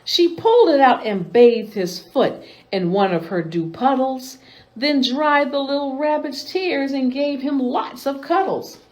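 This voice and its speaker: A woman reading picture books aloud to children in an expressive storytime style, as a host with a deliberate, animated delivery. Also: sits high, rough recording.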